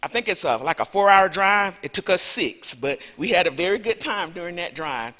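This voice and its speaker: A woman's voice: on the low side, lively intonation, normal speed, a bit bright. Chatty Southern woman in a hall. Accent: Southern US (Alabama). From Alabama, USA. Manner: chatty, rambling, self-deprecating asides. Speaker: visiting lecturer. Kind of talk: conference talk. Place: large lecture hall. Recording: reverberant hall.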